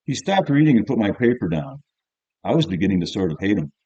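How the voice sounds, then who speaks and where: A man's voice: on the low side, sing-song, quick pace, darkish tone, dry recording, highs cut off. A man reading as a jaded, cynical teenage schoolboy, narrating an audiobook in the first person in a colloquial, grumbling, offhand way. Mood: resentful.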